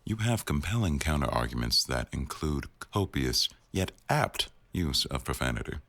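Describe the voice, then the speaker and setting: A man playing an attentive, dry-witted male news boss in an intimate boyfriend ASMR roleplay, speaking in a measured, gently teasing way. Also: a bass voice, big pitch swings, no echo, heavily acted. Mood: dryly teasing. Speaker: audio roleplay performer.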